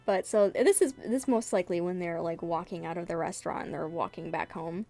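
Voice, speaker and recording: A woman's voice: on the low side, a little fast, warm tone, faint music behind. A woman chatting casually about her own webcomic in a giggly, rambling way, as its creator. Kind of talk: casual commentary and chat.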